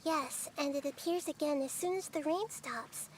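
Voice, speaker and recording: A woman voicing a small, gentle, wise childlike girl deity in an anime-style video game. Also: high voice, normal speed, a little hiss or hum, close to an everyday voice. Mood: calm, thoughtful. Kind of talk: scripted anime game dialogue and battle callouts. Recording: loud game music behind.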